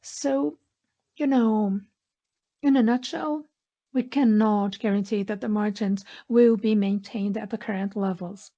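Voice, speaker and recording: A woman interpreting a corporate earnings call in measured phrases, pausing mid-sentence. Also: medium pitch, fairly even intonation, dark tone. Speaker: interpreter voicing conference call speakers. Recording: dull, lo-fi conference line audio.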